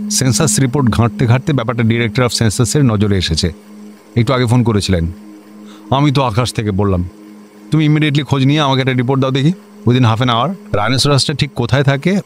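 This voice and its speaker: An actor playing an officious, excitable district magistrate in a comedy radio play, with a clipped manner and English words mixed in. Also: male voice, mid-range voice, a little hiss or hum, close to an everyday voice. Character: officious, excitable male district magistrate, bossy to juniors, servile to superiors. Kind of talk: Bengali comedy radio drama acting.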